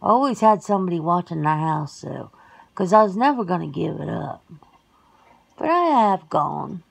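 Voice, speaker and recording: A woman's voice: lowish voice, expressive, normal speed, vocal fry, breathy edge, exaggerated voice, low-quality recording. A woman playing a folksy, plain-spoken werewolf sister in an ASMR roleplay, talking casually and colloquially. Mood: frank, reflective.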